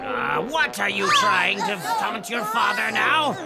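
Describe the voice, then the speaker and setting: A woman playing a gravelly, bullying father. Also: on the high side, animated, a little slow, a loud crowd. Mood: angry. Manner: shouting, scolding. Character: harsh, bullying poor father of a boy. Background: music.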